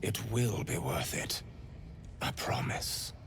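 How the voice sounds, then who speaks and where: An actor playing a serene, fervently devout priest of a pain goddess in a video game, with theatrical, courtly tenderness and a breathy voice that slips into whispers. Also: male voice, fairly low pitch, sing-song, slow pace, noisy background. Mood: soothing, intimate. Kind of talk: video game character acting.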